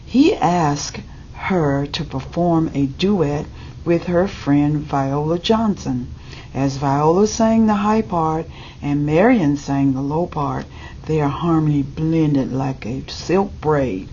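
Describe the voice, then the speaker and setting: A woman reading a children's picture book aloud in a measured, deliberate way, as a storybook reader. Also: low-pitched, dark tone. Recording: dull lo-fi audio with background noise.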